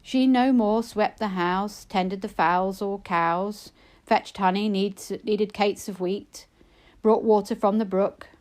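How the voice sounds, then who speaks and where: A woman reading narrative poetry aloud, with measured, expressive and dramatic phrasing. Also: mid-range voice. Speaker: poetry reader.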